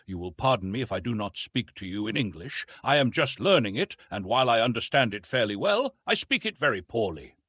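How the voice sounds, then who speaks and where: Jungle-raised adventure hero for a storybook acted by a man, courteous, composed, formal, husky and close-recorded. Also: on the low side, sing-song, fairly quick, hardly any pauses, a bit bright, no echo, exaggerated voice.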